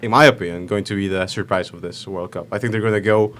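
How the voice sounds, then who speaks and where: Male podcast guest, twenties, from Ecuador. Lowish voice, playful and emphatic in loose conversation. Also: fairly even intonation, fast talker, a bit bright. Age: 20s. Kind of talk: casual chat.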